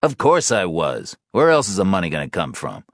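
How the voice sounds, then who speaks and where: A man's voice: deep voice, wildly swooping pitch, a little fast, no echo, clean studio sound, heavily acted. A man playing a weary, defensive husband and father in audiobook dialogue. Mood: tense, defensive.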